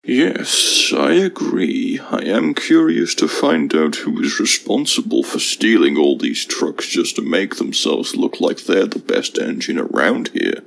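A man voicing an old, grumpy but polite steam engine in a cartoon, gravelly, measured and condescending. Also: sits low, very animated, heavily acted. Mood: pleased, musing. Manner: measured, condescending, calls others young'un. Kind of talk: fan animated game cutscene acting.

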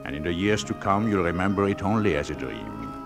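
An actor playing a gruff, lovelorn ghost of a sea captain in a theatrical, wistful radio-play style. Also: male voice, low-pitched, sing-song, a little fast, over music, exaggerated voice. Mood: tender, resigned. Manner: theatrical, tender, wistful delivery. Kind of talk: 1940s radio play acting.

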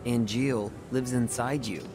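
A man's voice: mid-range voice, steady tone, slow, music in the background, lightly acted. A man voicing an earnest, idealistic young soldier hero in a video game, with a casual, open and emphatic manner. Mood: sincere, uncertain. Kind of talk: dramatic video game voice acting.

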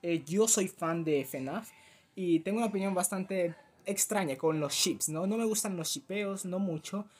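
A young man with a Latin American Spanish accent, chatting casually and self-deprecatingly to camera as a rambling, animated YouTuber. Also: high-pitched, measured pace. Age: teens to early twenties. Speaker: YouTuber reacting to a fan dub. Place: small cluttered room at home.

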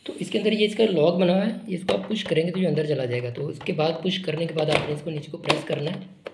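A man casually explaining, step by step, how to unbox and assemble a product. He talks in a relaxed, repetitive way while working with his hands. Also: fairly high pitch, fast, creaky. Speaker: online shop product demonstrator. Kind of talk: unscripted unboxing and assembly walkthrough. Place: indoor room with a table. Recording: echoey room with noticeable background noise.

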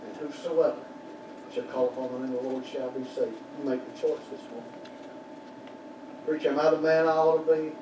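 An older man preaching a sermon in a small church, building to shouted peaks and dropping to quiet prayer. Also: medium pitch, steady tone, a little fast, long pauses, dull audio. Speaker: pastor. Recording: roomy church echo from far off, background noise.

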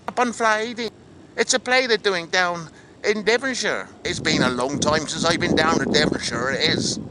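A man's voice: sits high, bright, forceful tone, rough recording. A man playing a chatty, hopeful Cardiff actor with a Welsh accent, telling of auditions in a vlog monologue. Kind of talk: scripted-feel vlog monologue.

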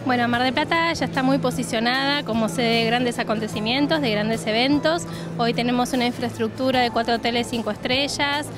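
A woman's voice: fairly high pitch, fairly quick, hardly any pauses, music in the background. A woman in her thirties with an Argentine accent, a city tourism official answering interview questions fluently at a trade fair stand, with many "eh" fillers, into a handheld mic. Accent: Argentine Rioplatense Spanish. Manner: fluent promotional listing, frequent "eh" fillers. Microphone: handheld reporter mic.

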